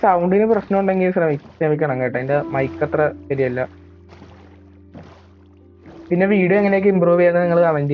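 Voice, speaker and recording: A young man with a Malayali accent giving casual, self-mocking commentary while he plays a horror game. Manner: chatty and self-mocking, admits he scares easily. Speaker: gaming YouTuber. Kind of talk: casual let's-play commentary. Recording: tinny, low-quality mic.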